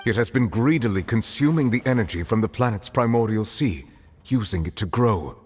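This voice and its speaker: Man playing a deep, solemn, dignified judge. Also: animated, faint music behind, natural voice. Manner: formal, measured, grave. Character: solemn, dignified, formal male judge, calm and grave. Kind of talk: video game voice acting. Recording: long hall reverb, faint noise.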